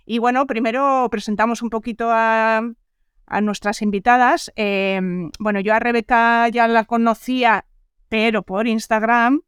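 A woman hosting a casual radio interview, chatty and friendly, often pausing with fillers. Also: a little high, fairly even intonation, smooth, dry recording, studio quality. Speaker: radio show host.

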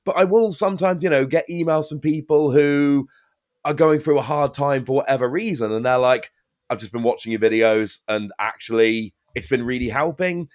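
A man's voice: mid-range voice, moderate pace. A man in his thirties, a podcast host, chatting casually and telling stories with animation. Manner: animated, lively storytelling. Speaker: podcast host and card game caster. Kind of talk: casual podcast interview chat.

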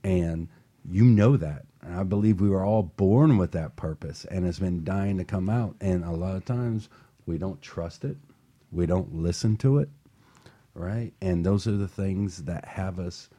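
A man's voice: low voice, a little slow, dark tone. A man hosting a motivational talk show, speaking earnestly and passionately, often repeating words as he starts a thought. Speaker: host.

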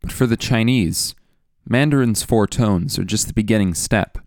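A young man narrating a scripted documentary, measured and explanatory, often putting questions to the listener. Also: fairly low pitch, a little mellow. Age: young adult. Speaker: student radio producer and narrator. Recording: faint music underneath.

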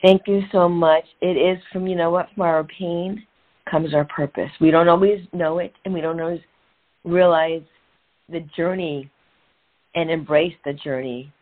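An earnest, middle-aged woman tells her own story in short, reflective phrases with pauses. She comes through a thin, phone-like line that cuts away the fullness of her voice. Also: lowish voice, steady tone. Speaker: guest, author and transformational coach. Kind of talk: candid personal story in a podcast interview.

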